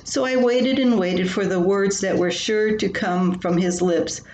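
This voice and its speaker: A woman's voice: mid-range voice, unhurried, darkish tone. An older woman reading her own humorous personal column aloud, with a wry, dramatic storytelling manner. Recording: roomy echo with clear background noise.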